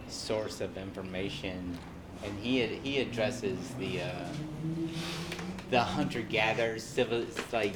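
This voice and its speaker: A man in the audience making a halting comment, full of fillers like "um" and "like". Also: on the low side, background noise. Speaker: audience member. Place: community room.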